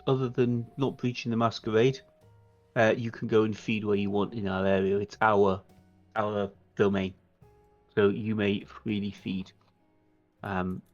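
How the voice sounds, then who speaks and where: A man's voice: on the low side, highs cut off. A man improvising in a tabletop role-playing game. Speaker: tabletop role-play player.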